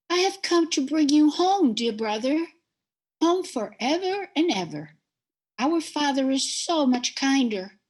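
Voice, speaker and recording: A woman doing a sweet little girl, high-pitched. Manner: eager, pleading, affectionate. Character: young girl, loving little sister. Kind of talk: amateur radio play reading. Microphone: video call.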